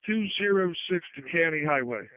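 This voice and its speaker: Guy calling in on a work radio, kinda high voice, dry and tinny, halting casual delivery. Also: lively intonation, no room sound. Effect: two-way radio. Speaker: public works road crew driver. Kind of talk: two-way radio check-ins. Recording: tinny narrowband radio transmission.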